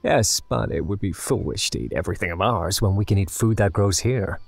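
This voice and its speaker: Audio drama explorer male actor: practical, unhurried, lowish husky voice with lively pitch. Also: no echo, faint music behind, exaggerated voice. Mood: matter-of-fact. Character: Nikan, calm practical male explorer, reassuring to his captive.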